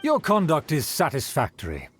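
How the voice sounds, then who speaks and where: A haughty video game aristocrat with a fairly high male voice. He speaks in pompous, formal phrases with sweeping, theatrical intonation and a condescending air. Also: wildly swooping pitch, moderate pace, fairly bright tone, faint music behind. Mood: approving, lofty.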